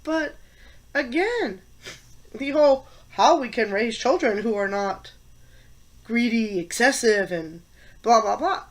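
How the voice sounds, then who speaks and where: A woman in her fifties with a head cold, stuffed up, giving her opinions in a casual class vlog and stressing words between pauses and fillers. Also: on the high side, expressive. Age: 50s. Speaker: college teacher and student.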